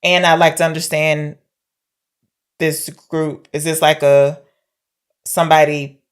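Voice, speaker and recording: Woman, low pitch, casual film discussion, chatty podcast co-host, thinking aloud. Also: little pitch movement, takes it slowly, clean tone.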